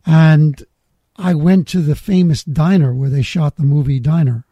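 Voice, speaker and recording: Middle-aged male host, husky mellow voice, measured and talkative. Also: a little high, fairly even intonation, no room sound. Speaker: podcast host. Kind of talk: scripted intro then casual interview.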